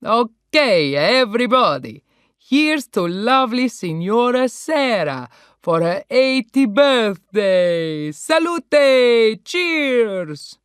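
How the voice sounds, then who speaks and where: A woman's voice: fairly high pitch, big pitch swings, slow, smooth, dry recording, studio quality, voice pushed up. A woman voicing a jolly middle-aged Italian restaurant owner with an Italian accent and effusive broken English. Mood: festive.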